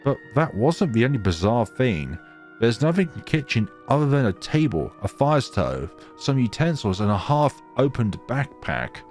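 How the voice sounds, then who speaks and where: A man's voice: medium pitch, very animated, moderate pace, a little mellow, faint music behind. A man narrating a visual novel as a let's player, reading expressively and slipping into casual commentary.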